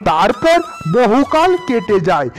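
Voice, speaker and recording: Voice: medium pitch, sing-song, unhurried, soft background music. A performer voicing a loyal, grateful lamp genie who speaks formally and respectfully to a master in a fairy-tale cartoon. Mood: earnest recounting. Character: grateful, loyal male lamp genie, later a freed prince.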